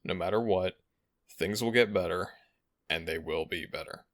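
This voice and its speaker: A man speaking earnestly in measured, formal wording as he addresses his followers as an audio roleplay host. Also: sits low, fairly bright tone, dry recording, clean studio sound. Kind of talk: addressing followers, channel update and shoutouts.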